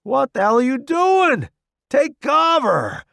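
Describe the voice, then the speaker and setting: A man voicing a brash, reckless young male soldier who shouts and jokes under fire. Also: sits high, sing-song, a little slow, gravelly, no room sound, clean studio sound, voice pushed up, heavily acted. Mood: urgent shouting. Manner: loud, shouting over explosions.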